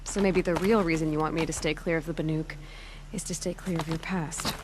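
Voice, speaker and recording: An actress playing a young, independent huntress heroine in a video game, dry, teasing and understated. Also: female voice, low-pitched, fairly even intonation, brisk, noisy background, lightly acted. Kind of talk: dramatic game dialogue. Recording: clear music behind. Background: music.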